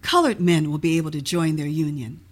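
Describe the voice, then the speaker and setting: An earnest, hopeful workingman from a storybook, read out by a woman. The pitch is slightly low for a female voice. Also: fairly quick. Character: earnest, hopeful working man talking union.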